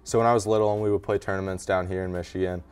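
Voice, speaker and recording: A young Canadian man, a college hockey goalie, answering studio interview questions in a relaxed, modest way with many fillers. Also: low-pitched, racing, clean tone, faint music behind. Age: young adult. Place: small TV studio with brick backdrop. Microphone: lapel mic.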